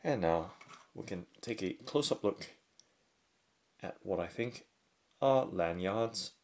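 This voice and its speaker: A man giving unhurried, step-by-step product unboxing commentary indoors at a tabletop. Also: fairly low pitch, expressive, darkish tone. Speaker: product reviewer. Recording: faint handling and crinkling noise. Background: faint clicks and packaging crinkle.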